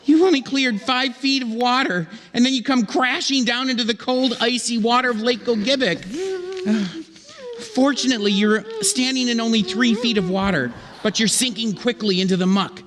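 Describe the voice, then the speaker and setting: A man's voice: extremely high pitch, moderate pace. A man narrating a comic sketch theatrically in old-time radio style, on a reverberant theatre stage.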